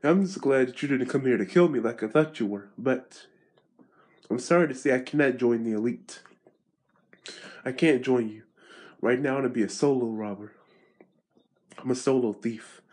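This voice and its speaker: A man's voice: medium pitch, moderate pace, pauses often, a little mellow, husky, natural voice. A young man playing a brash street thief. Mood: earnest. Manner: talks to himself in a restless ramble. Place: outdoors in a back alley.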